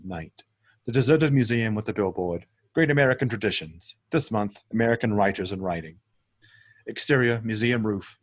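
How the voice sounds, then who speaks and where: A man serves as narrator for a screenplay table read, delivering scene headings and action lines. His voice sits fairly low and has a noticeably husky, rough edge. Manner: stumbles and corrects himself while reading. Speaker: narrator reading stage directions.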